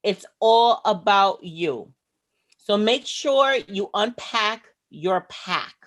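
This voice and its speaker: A woman speaking as a guest on a video call, delivering a motivational talk in a slow, emphatic, earnest way. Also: mid-range voice, lively intonation. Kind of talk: motivational speech.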